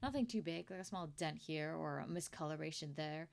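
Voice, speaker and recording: A woman playing a kindly, proud older company founder in an ASMR roleplay, chatty and doting, with a creaky voice. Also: low-pitched, animated, fairly quick. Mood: pleased, businesslike. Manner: gentle, chatty, doting, with throat-clearing and rambling asides. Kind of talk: ASMR roleplay monologue to the listener.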